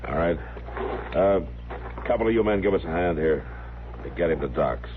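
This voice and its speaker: An actor playing a calm, firm, laconic frontier marshal in old western radio drama style. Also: male voice, low voice, normal speed. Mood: calm, reassuring. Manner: laconic, plain-spoken. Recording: noisy lo-fi old radio recording.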